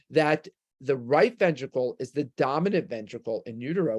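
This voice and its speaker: A man's voice: on the high side, darkish tone. A man with a New York accent giving a medical lecture with slides, explaining things step by step and posing rhetorical questions.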